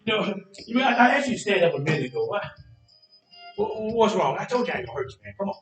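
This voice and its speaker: Man acting a high-voiced, folksy American stage blusterer. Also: very animated, fast talker, fairly bright tone. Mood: cajoling. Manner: folksy, repetitive, needling. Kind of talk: stage play dialogue. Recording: echoey stage, distant mic, music.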